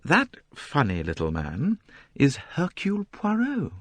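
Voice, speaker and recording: A man's voice: fairly high pitch, wildly swooping pitch, unhurried, dry recording, voice pushed up, heavily acted. A man voicing a light, socially tactful older mother.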